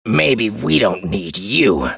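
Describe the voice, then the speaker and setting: A man voicing a defiant, hostile male commander who talks loudly and with scorn. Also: on the high side, huge pitch swings, moderate pace, vocal fry, slightly rough, no echo, clean studio sound, voice pushed up, exaggerated voice. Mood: scornful, defiant. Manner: loud and scornful.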